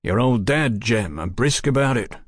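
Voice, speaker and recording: A man voicing a brisk, businesslike detective, in a storybook reading. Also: medium pitch, lively intonation, no room sound, clean studio sound, close to an everyday voice. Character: terse male police detective, brisk.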